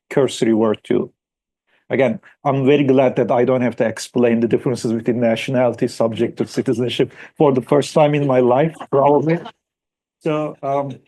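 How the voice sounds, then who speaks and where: A man's voice: medium pitch, warm tone. A man, a historian, giving a conference paper in a hesitant way with many fillers. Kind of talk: academic conference talk. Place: conference room.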